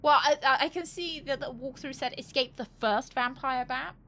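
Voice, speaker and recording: A woman's voice: sits high, moderate pace, pushed and bright, a little hiss or hum. A woman doing casual live game commentary, thinking aloud in a playful, cheeky, rambling way and swearing freely. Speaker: game streamer.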